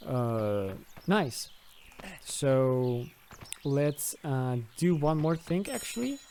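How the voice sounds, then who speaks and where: A man's voice: medium pitch, animated, drawn-out words, a little mellow, a little hiss or hum. A man giving an unscripted screen-recorded tutorial as its host, hesitant and thinking aloud step by step. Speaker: host of a video game modding tutorial.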